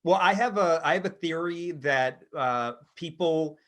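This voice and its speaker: A man in his thirties co-hosting a podcast in casual chat, rambling and halting as he talks. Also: fairly high pitch, a bit bright. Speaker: podcast co-host.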